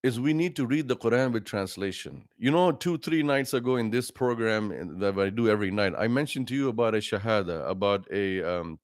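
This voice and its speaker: A man's voice: fairly low pitch, no echo. Middle-aged imam guy, earnest, lists his tips, dry close sound. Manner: earnest, numbered advice, greets viewers mid-talk. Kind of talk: livestreamed religious talk.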